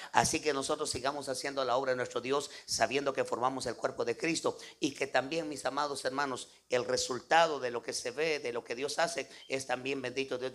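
A man's voice: medium pitch, fairly bright tone. A man with a Guatemalan accent preaching an impromptu, exhortative sermon to a congregation, peppered with devotional interjections and tag questions. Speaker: evangelical preacher.

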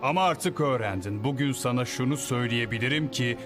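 A man's voice: mid-range voice, music in the background, natural voice. Solemn, imperious president played by a man. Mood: grave, insistent. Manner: measured, grave, authoritative. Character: solemn, imperious male head of state. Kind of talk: animated series dub in Turkish.

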